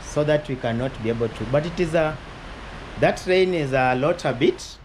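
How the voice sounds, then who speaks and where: A man being interviewed about his YouTube journey, speaking loudly with a big voice in a casual chat inside a house. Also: fairly high pitch, moderate pace. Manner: loud, big-voiced delivery. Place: inside a house during heavy rain. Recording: noisy with rain outside.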